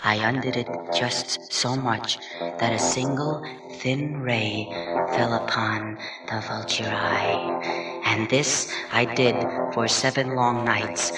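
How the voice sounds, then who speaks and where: A gleeful madman from a gothic horror tale, acted by a man, tells his story slowly and theatrically, swinging between hushed creeping and frenzy. His voice sits at a middle pitch and rings in a roomy echo, off the mic, with music underneath. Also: recorded from a distance, natural voice. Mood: sly, hushed. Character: gleeful, unhinged madman murderer insisting he is sane.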